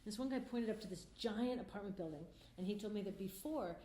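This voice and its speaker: A woman's voice: medium pitch, a little fast, warm tone. A middle-aged woman, a filmmaker, answering interview questions in an earnest, passionate, conversational way. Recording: roomy echo, faint background noise.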